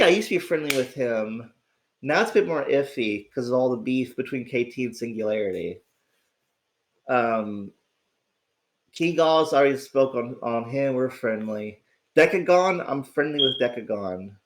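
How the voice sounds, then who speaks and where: A man with a raspy, slightly sick voice talks casually on his own livestream from home. His pitch swings widely as he rambles, restarts his sentences and swears when he gets excited. The talk is loose and unscripted, aimed at his chat. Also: a little slow, long pauses, slight background noise. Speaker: livestream host.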